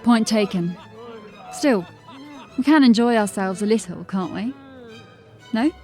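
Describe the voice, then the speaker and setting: A bold undercover watchwoman played by an actress with an average-pitched voice. She is wry, teasing and confident, in fantasy audio drama style over faint music. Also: measured pace, darkish tone, natural voice. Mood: playful, catty. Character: bold, playful, catty female watch officer undercover.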